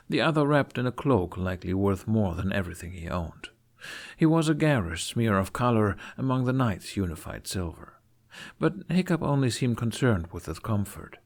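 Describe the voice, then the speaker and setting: A man reading a scripted fantasy story aloud in an expressive audiobook style. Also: low-pitched. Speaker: fan fiction narrator.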